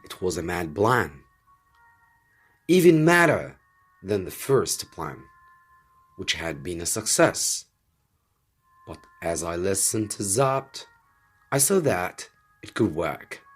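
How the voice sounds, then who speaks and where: A man reading a scripted story aloud as a teacher, with dramatic, deliberate phrasing. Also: deep voice, very animated, breathy edge, soft background music.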